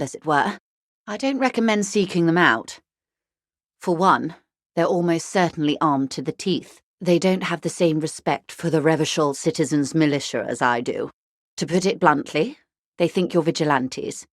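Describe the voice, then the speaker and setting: An actress voicing a composed, well-educated female corporate negotiator in a video game, speaking formally and politely in a measured, articulate way. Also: lowish voice, no room sound, clean studio sound, close to an everyday voice. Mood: cautionary, composed. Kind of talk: dramatic video game dialogue.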